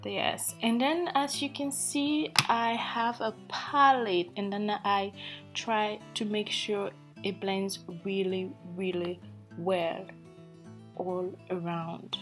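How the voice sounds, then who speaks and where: A woman from Africa speaks English with an accent shaped by years in Norway. She takes her time, pausing often and filling gaps with um and you know as she talks through a makeup routine. Soft guitar music plays faintly underneath. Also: mid-range voice, flat delivery. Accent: African English with Norwegian influence. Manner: slow, hesitant, self-deprecating, many fillers. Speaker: beauty vlogger. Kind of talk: casual makeup tutorial.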